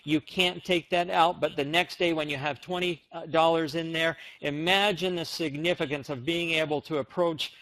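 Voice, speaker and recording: An American man presenting on a conference call, giving an enthusiastic, emphatic motivational sales pitch. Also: steady tone, moderate pace, hardly any pauses, fairly bright tone, no room sound, rough recording. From United States.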